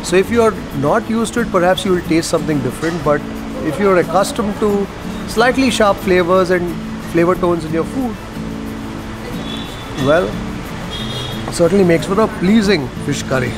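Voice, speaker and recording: A middle-aged man with an Indian English accent talks through each dish he tastes, his voice sitting high for a man. He savors and explains flavors in an easy, descriptive way. Music plays clearly behind him. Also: normal speed, long pauses, poor audio. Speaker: food vlogger host. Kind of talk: casual food-tasting commentary. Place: restaurant dining table, indoors.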